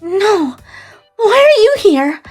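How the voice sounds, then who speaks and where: Casting: actress as timid, sweet young woman, extremely high voice, manga romance drama, light music underneath. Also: big pitch swings, slow, darkish tone, full character voice. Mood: frightened. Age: young adult. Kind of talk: anime-like manga drama dialogue.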